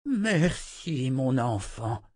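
An old male wizard from a fantasy tale, acted by a man, with a breathy croak and an emphatic, proud delivery. The pitch sits above the reader's own, in a very dry recording. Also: expressive, slow pace, no echo. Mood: relieved, proud. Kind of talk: audiobook character voice.

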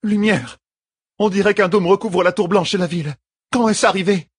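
A man's voice: high-pitched, moderate pace, breathy edge, no echo, pitched above the performer's own voice. A man voicing anxious, shaken women of a mystical sisterhood in an audiobook, with alarmed urgency.

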